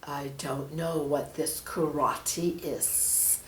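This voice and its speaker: A woman voicing a menacing male snake villain from a children's storybook, slow and drawn out, with a hissing, breathy whisper. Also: low-pitched, steady tone, reverberant, pitched below the performer's own voice. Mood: sly.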